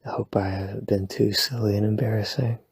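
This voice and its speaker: A man whispering softly at night in a rambling, playful, self-deprecating sleep-aid roleplay. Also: lowish voice, flat delivery, a little fast, no room sound, studio quality. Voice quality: breathy whisper. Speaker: ASMR boyfriend roleplay creator. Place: home at night.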